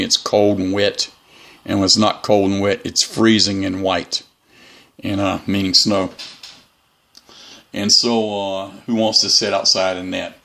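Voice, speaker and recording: Low, gravelly man chatting casually. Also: a bit bright. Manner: rambling, folksy, opinionated. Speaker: radio hobbyist reviewer. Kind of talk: casual unscripted product review. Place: room in a house.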